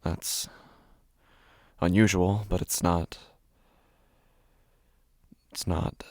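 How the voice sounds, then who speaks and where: A man playing a frightened, sleepless narrator of his own waking nightmare in a horror podcast, halting and intense, repeating his phrases. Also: low voice, fairly even intonation, a little mellow, no echo, full character voice. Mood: dazed, uneasy. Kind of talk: horror fiction podcast, dramatic first-person monologue.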